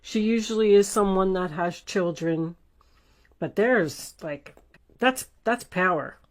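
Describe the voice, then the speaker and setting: A woman's voice: medium pitch, slow. A woman reading tarot cards informally for the viewer, talking in a conversational, emphatic and reassuring way. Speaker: tarot reader, host of an online reading.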